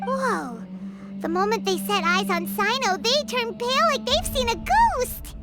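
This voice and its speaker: Squeaky, feisty little fairy girl. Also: measured pace, loud music behind the voice, full character voice. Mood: excited, scolding. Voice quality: squeaky, childlike. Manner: excitable, blunt. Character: tiny, feisty floating fairy girl companion.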